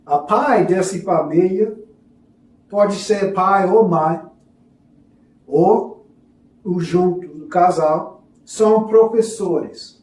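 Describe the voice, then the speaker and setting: This is a man with a foreign accent giving a religious lesson in a halting, apologetic way. His voice is notably high for a man and rather mellow. He sits back from the microphone in a room with clear echo. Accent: foreign, non-native Portuguese. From foreign to Brazil, a self-described gringo. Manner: halting, hesitant in Portuguese, apologetic. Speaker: religious teacher presenting a course. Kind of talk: lesson with storytelling. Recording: echoey room, distant from mic.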